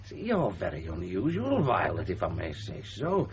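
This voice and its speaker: An actor playing a kindly, frank middle-aged uncle, gently probing and halting in a 1970s radio drama. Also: male voice, low-pitched, huge pitch swings, full character voice. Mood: reassuring, thoughtful. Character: kindly, frank uncle reassuring a young bride. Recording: dull, hissy 1970s radio broadcast.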